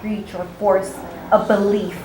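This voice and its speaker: A woman's voice: medium pitch, flat delivery, a little slow, echoey room, noisy background. A young woman from California, a pageant titleholder, talking openly and chattily in a talk show interview with plenty of fillers. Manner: open, chatty, many fillers.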